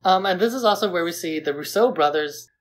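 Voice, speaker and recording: A man's voice: high voice, a little fast, fairly bright tone. A man co-hosting a podcast, discussing films in a casual, conversational style. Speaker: podcast co-host.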